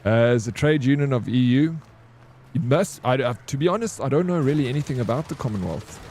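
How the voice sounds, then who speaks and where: South African man, gaming streamer, relaxed warm tone, casual halting chat, faint noise behind. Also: medium pitch. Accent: South African English. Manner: rambling and hesitant, full of ums and restarts.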